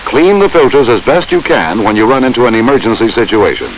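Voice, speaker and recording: A man reads scripted instructional narration for a training film in a measured, formal way. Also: mid-range voice, big pitch swings, poor audio. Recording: hissy old training film soundtrack.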